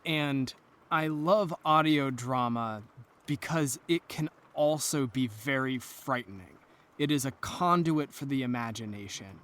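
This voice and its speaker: A man speaking in a panel interview with dramatic, emphatic and deliberate phrasing. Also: medium pitch, a bit bright.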